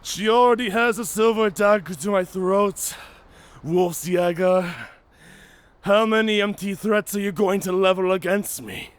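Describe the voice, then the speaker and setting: Slow-talking, sardonic male werewolf. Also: high voice, slight background noise. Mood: wary, bargaining. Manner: theatrical, sardonic, bantering. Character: wounded, wry, talkative male werewolf in human form. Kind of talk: cinematic audio roleplay acting.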